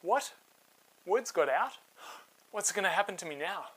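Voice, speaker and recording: Man playing an earnest, impulsive young hero, bright voice. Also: high-pitched, lively intonation, a little slow, breathy edge, exaggerated voice, low-quality recording. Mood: alarmed. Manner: earnest, exclamatory.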